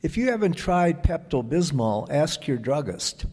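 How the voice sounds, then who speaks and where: A man reading a script as an old-time radio announcer, with measured, deliberate phrasing. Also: on the high side, sing-song, darkish tone. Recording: echoey hall with audience present.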